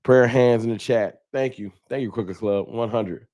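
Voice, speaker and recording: A man's voice: medium pitch, normal speed, darkish tone, no echo. An American man hosting a sports fan livestream, talking casually and candidly to his viewers in informal, colloquial speech. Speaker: livestream host, sports fan content creator. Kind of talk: casual livestream talk to viewers, reading chat.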